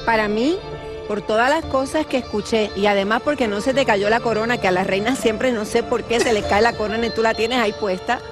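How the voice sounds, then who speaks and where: A woman's voice: on the high side, fairly quick, music in the background. A woman judging on a talent show panel, chatty and affectionate with the contestant.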